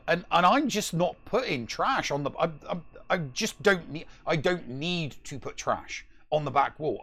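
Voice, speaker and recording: Gravelly-edged man in casual gaming chat. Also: mid-range voice, a bit bright. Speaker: podcast co-host.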